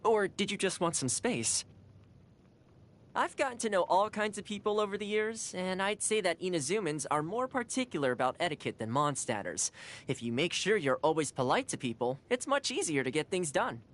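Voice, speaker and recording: A woman's voice: on the low side, sing-song, normal speed. A woman voicing a cheerful, caring young male housekeeper in a video game, friendly and earnest. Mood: friendly, chatty. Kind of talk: video game character voice lines.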